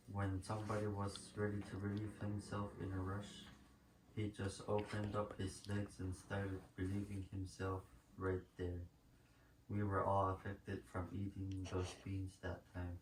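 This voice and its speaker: A man reading an elder's life story aloud as a narrator. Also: low voice. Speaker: reader at a school story sharing. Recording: echoey room, distant mic, faint noise.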